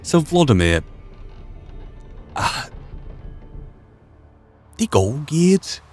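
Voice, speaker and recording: A man hosting a gaming playthrough, musing aloud in a thoughtful, halting commentary. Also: fairly high pitch, big pitch swings, darkish tone, husky, soft background music. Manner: thoughtful, halting, thinking through asides. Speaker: gaming video host.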